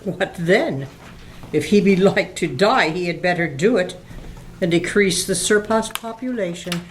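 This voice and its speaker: A woman playing a grave, stern Christmas spirit in a radio play, speaking formally and deliberately. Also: on the low side, slight background noise, close to an everyday voice. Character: solemn, stern ghostly Christmas spirit, prophetic and reproachful. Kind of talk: holiday radio play acting.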